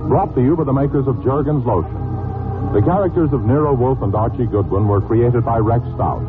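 A male announcer in scripted 1940s radio style. His voice is mid-pitched, with clear organ music behind it. The recording is narrow and dated. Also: fairly quick. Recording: narrow-band old radio.

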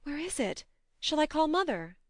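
A woman reading an audiobook as a brisk, affectionate young woman, a bossy tomboyish elder sister with a forthright manner. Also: high-pitched, big pitch swings, no room sound, studio quality, voice pushed up. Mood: anxious, tender. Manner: admonishing, forthright. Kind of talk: audiobook character dialogue.

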